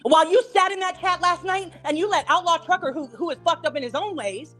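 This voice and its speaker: A woman's voice: extremely high pitch, brisk, fairly bright tone, soft background music. A woman in a confrontational argument, speaking in an accusatory, insistent way.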